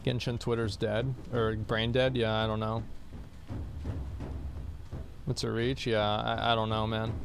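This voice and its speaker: A man's voice: lowish voice, level tone, measured pace, vocal fry, long pauses, soft background music. A young man streaming a game from home, chatting casually with viewers, answering their questions in a blunt, rambling way. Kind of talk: casual chat with livestream viewers while gaming.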